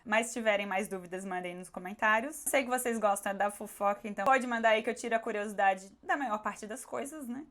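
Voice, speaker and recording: A woman with a Brazilian accent speaks in a casual question-and-answer vlog style. Her voice is hoarse and sits at a medium pitch. She comes across as candid and conversational, talking straight to her viewers. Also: brisk, few pauses, fairly bright tone.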